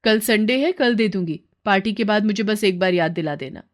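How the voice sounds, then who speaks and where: A woman voicing a proud, bossy but kindly employer lady, commanding and brisk, in a moral story. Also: mid-range voice, lightly acted. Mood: brisk, casual.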